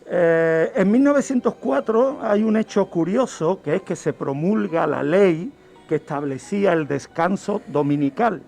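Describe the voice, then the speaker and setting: A man, telling true-crime cases as a guest criminologist on a radio show, explaining deliberately. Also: sits high, vocal fry, a little mellow. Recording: music bed under the voice. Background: background music.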